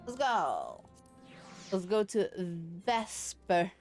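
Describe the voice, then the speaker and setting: A woman's voice: mid-range voice, wildly swooping pitch, drawn-out words, darkish tone. A woman live streamer chatting casually and playfully while she plays a game. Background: game music.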